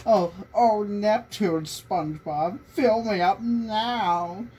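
A man's voice: high-pitched, slow, a little mellow, muffled recording. A young man reading fan fiction aloud to friends, prone to breaking into laughter, recorded in a small bedroom. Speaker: reader among friends. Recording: noisy casual room recording.

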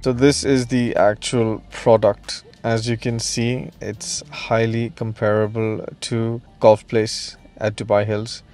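A man's voice: fairly low pitch, level tone, takes it slowly, few pauses, darkish tone, faint music behind. A man giving an emphatic, salesy promotional presentation as a real estate agent, putting questions to the viewer.

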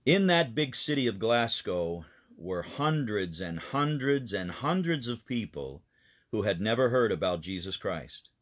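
A man's voice: fairly low pitch, very animated, a little slow. A man, a pastor, telling a children's missionary story in an earnest, explanatory way.

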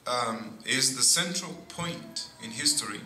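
A middle-aged man, a priest giving a sermon at a pulpit, speaking in slow, deliberate phrases with pauses and frequent asides of "see". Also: medium pitch, animated, pushed and bright. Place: church sanctuary at a pulpit. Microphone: boom mic at pulpit. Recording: echoey church with faint noise.

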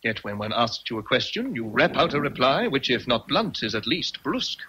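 An actor playing an imperious, teasing English gentleman with commanding, formal speech in a radio drama. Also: mid-range voice, sing-song, moderate pace, background noise, natural voice. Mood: imperious, probing. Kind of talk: vintage radio drama acting.

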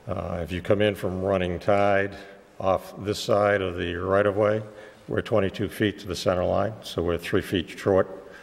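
A man with a cold, losing his voice, presenting a technical site plan to a planning board in a measured way with many "uh" fillers. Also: deep voice, flat delivery, gravelly, long reverb tail. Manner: measured and explanatory, with frequent "uh" fillers. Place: town meeting room.

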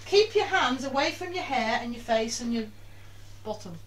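A woman's voice: a little high, animated, moderate pace. An older British woman casually giving children step-by-step instructions in a directive way, often checking that they follow. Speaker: grandmother teaching children to bake. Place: small domestic kitchen. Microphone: handheld camera a few feet away. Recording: distant camera mic, some background noise.

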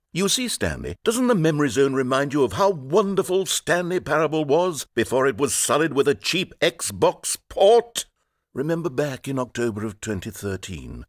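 A man's voice: lowish voice, no echo. A man playing a pompous, self-important video game narrator who is easily rattled, with a theatrical delivery. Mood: nostalgic. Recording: dry studio recording.

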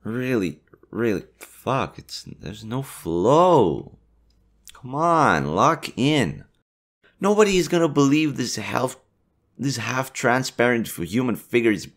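A man's voice: mid-range voice, big pitch swings, slow. A young adult man, a voice actor, swearing at himself in frustration over flubbed takes. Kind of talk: blooper outtakes.

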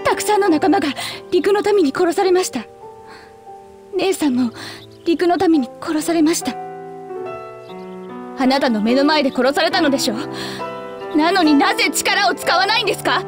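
Bitter girl with very high voice. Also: moderate pace, long pauses, over music. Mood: accusing, angry. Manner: accusatory, cold, formally polite speech. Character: bitter, accusing young girl grieving her dead sister. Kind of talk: Japanese game voice acting.

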